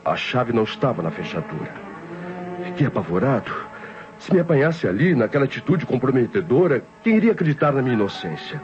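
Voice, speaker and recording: A man's voice: medium pitch, sing-song, a little fast, lightly acted. A man performs a nervous, calculating nephew in old-time Brazilian radio drama. His mid-range voice narrates with theatrical tension. Music plays behind a dull, dated recording. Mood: tense, scheming. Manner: theatrical, tense storytelling.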